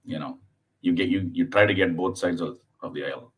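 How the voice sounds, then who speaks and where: Guest comic, man from southern India, thirties, very low voice, halting delivery full of restarts and fillers. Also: slightly rough. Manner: acts out his mother's voice in anecdotes. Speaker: stand-up comedian, guest. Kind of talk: casual podcast conversation.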